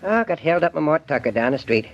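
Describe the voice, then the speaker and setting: A man's voice: on the high side, sing-song, fast. A folksy small-town selectman. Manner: drawling rural folksy speech. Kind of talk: 1940s radio acting. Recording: muffled vintage radio recording.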